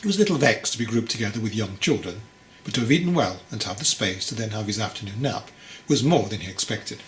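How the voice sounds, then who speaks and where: A man reading his own book as a measured, expressive storybook narration. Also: on the low side. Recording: roomy echo, faint background noise.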